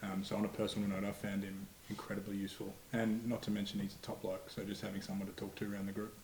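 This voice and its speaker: A young Australian man talks quickly in a flat, low voice, answering reporters with easy slang and a matter-of-fact manner. The room is echoey, with faint noise behind. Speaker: rugby player. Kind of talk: press conference answers.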